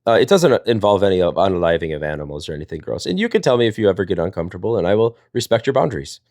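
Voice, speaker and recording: A man's voice: deep voice, very animated, racing, darkish tone, dry recording, studio quality. A man, chatting and telling stories in a rambling, jokey way. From Wisconsin, United States. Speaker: storyteller and live panel host. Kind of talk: casual chat and storytelling.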